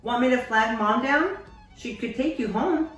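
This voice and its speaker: A woman's voice: on the high side, moderate pace, a bit bright, voice pushed up, close to an everyday voice. A woman reading aloud, voicing a snide young teenage girl who taunts a classmate in a mocking, sing-song way. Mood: falsely friendly. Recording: echoey room, distant mic, faint music.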